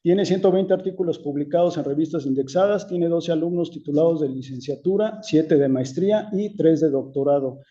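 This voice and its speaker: A man, hosting a seminar, reads a formal introduction with the odd filler word. His voice is very dark and mellow though a bit high, and the recording sounds dull and muffled. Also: fairly quick, few pauses. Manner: formal, reading a bio with fillers. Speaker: seminar host.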